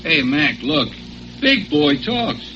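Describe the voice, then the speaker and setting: A sneering American henchman in an old radio serial, taunting and slangy. His fairly high voice swings widely in pitch through a dull, noisy recording. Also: sing-song, slow pace, pushed and bright, faint music behind, heavily acted. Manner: taunting, slangy, tough-guy talk. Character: cocky sneering male thug, dim gangster henchman. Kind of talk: 1940s radio acting.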